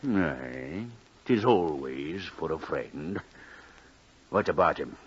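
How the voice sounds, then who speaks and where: Old rogue captain, greedy and wheedling, drawl, swooping delivery, muffled old radio sound. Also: male voice, rumbling low, animated, slow, slightly rough, full character voice. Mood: wheedling, probing. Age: older. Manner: wheedling, drawling, archaic sailor talk. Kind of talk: 1950s radio drama acting. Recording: muffled vintage radio recording.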